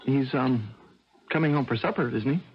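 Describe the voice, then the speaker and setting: An actor playing an evasive, uneasy college-age son in a radio drama, halting and distracted, often stammering. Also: male voice, medium pitch, husky, natural voice. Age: young adult. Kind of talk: 1980 American radio drama acting. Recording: muffled, faintly noisy old radio broadcast.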